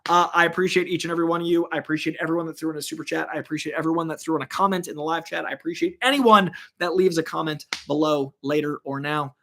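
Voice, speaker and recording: A man's voice: fairly high pitch, steady tone, fast, hardly any pauses, pushed and bright. A man hosting a comics livestream, talking casually and rambling with lots of fillers as he thanks viewers. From born in Virginia, raised in Massachusetts. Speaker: host of a comics news livestream.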